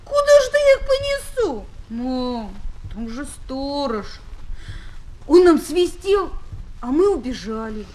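A woman playing a naive, pleading little boy in a children's audio drama, with a piping, halting, earnest voice. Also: sits high, very animated, heavily acted. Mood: reluctant, pleading. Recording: noisy old 1970s flexi-disc recording.

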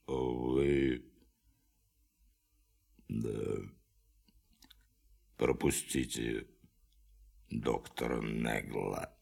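An actor playing a curt, official army general with a gravelly voice in a radio play. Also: male voice, very deep voice, steady tone, very slow, pauses often, a little mellow, full character voice. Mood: stiff, reluctant.

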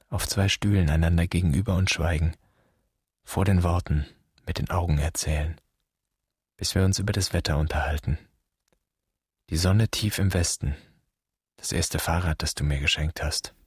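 An actor playing a brooding, wounded grown son in a poetic radio play, speaking in a measured, solemn, introspective way. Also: male voice, low-pitched, steady tone, slightly rough, dry recording, clean studio sound. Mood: wistful. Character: brooding, wounded grown son addressing his father's generation.

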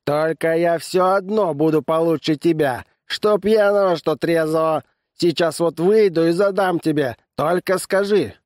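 A man voicing a cranky, boastful old drunk with a hoarse, tipsy tenor, as in an audiobook story. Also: a little high, measured pace, a little mellow, no room sound, studio quality, voice pushed up, lightly acted. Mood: drunken boasting. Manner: boastful, belligerent heckling.

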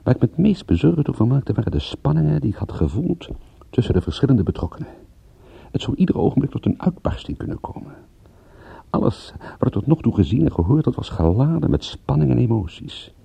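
Gravelly, sardonic radio private eye. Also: male voice, mid-range voice, big pitch swings, fairly quick, mellow, no echo, heavily acted. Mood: brooding. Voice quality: gravelly, husky. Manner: sardonic, dry-witted. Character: wry, world-weary male private detective, narrating. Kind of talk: radio drama narration and dialogue. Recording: muffled, phone-like old radio recording.

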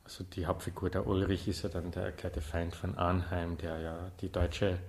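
Very deep-voiced man chatting in an interview. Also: little pitch movement, normal speed, a little mellow. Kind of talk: casual spontaneous interview talk.

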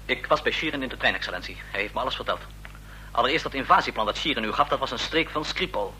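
Sharp, fast, brash young agent. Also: male voice, mid-range voice, expressive, poor audio. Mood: urgent, respectful. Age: 30s. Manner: urgent, commanding. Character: brash, urgent 35-year-old male intruder, loyal agent. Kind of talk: Dutch radio drama acting. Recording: faint noise and clicks, old radio recording.

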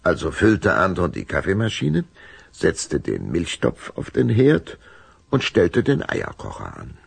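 A man with a gravelly voice narrating a scripted audio drama for children. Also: sits low, wildly swooping pitch, a little slow, no echo.

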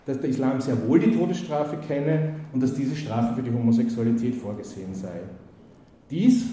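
A man's voice: mid-range voice, warm tone, slight background noise. A man giving a spoken lecture, hesitant with many fillers and restarts. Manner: hesitant, full of "äh" and restarts, reads quotes aloud. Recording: very echoey hall.

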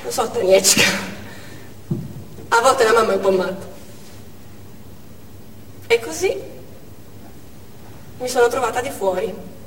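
A woman's voice: fairly high pitch, expressive, measured pace, long pauses. An actress playing a caring, impulsive working mother in a theatrical stage monologue. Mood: rueful, tender. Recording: echoey hall, distant mic, clear noise.

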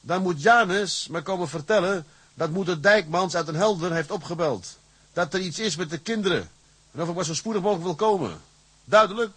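A shrewd, plain-spoken tugboat captain in a radio play. His voice is husky and fairly high for a man, recorded close and dry. He talks bluntly, with wry defiance and command. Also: a little fast, a bit bright, no room sound. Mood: urgent commanding. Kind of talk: Dutch radio drama acting.

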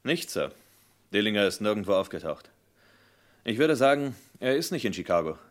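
An actor playing a dutiful, doubtful police lieutenant in a radio crime drama, with clipped replies. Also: male voice, fairly low pitch, very animated, moderate pace. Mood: matter-of-fact.

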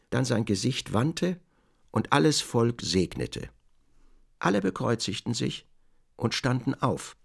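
A man's voice: medium pitch, creaky, slightly rough. A man narrating a literary radio drama as a storyteller, reading scripted prose.

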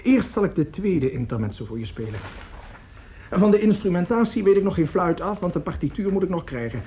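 Excitable, swooping opera conductor. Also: male voice, high voice, fairly quick, a little hiss or hum. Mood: enthusiastic. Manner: effusive, stammering, grumbling, swearing. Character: excitable, coarse, opinionated male opera conductor at his piano. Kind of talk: radio play acting. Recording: dull old radio recording, piano music.

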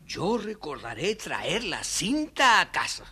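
A man's voice: sits high, huge pitch swings, slow pace, heavily acted. An actor playing a poor, trusting, easily bewildered father in a theatrical children's audio story. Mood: hopeful, trusting. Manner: hesitant, theatrical.

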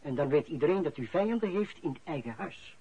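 An actor playing a shrewd, formal senior civil servant in a radio play, speaking in a measured, officious way. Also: male voice, a little high, lively intonation, dark tone, slightly rough. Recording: dull, muffled radio play recording.

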